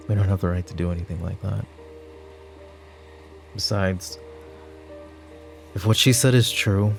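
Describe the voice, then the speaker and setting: Man acting a low, exasperated mafia boss over music. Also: a little mellow. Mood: firm, puzzled. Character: exasperated, weary male mafia boss, secretly principled. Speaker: voice actor in audio roleplay. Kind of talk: ASMR audio drama roleplay.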